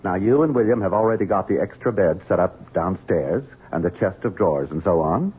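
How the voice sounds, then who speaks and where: An actor playing a scheming gentleman in a 1970s radio drama, honeyed and wheedling with a sinister edge. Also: low voice, expressive, brisk, breathy edge, full character voice. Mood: commanding. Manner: honeyed, wheedling, turns commanding. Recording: lo-fi phone-like old radio broadcast.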